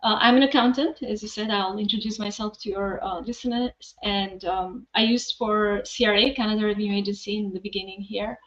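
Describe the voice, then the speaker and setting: A woman's voice: mid-range voice, steady tone, brisk, hardly any pauses, lo-fi sound. A middle-aged woman from Albania with an Albanian accent, talking casually as an interview guest. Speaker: podcast guest, accountant.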